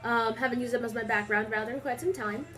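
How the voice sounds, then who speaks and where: A woman's voice: fairly high pitch, quick pace, faint music behind. A young woman with a stuffy nose chatting casually into a webcam in a small room, rambling with lots of fillers. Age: young adult. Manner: rambling, filler-heavy, animated storytelling. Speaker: vlogger.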